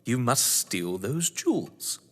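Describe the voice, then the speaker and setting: Man playing a pompous English crime novelist, theatrically animated. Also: mid-range voice, takes it slowly. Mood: coolly insistent. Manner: pompous, theatrical, condescending, elaborately wordy. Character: urbane, cunning older English crime novelist, male, pompous schemer. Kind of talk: theatrical audio drama acting. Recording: echoey hall-like reverb.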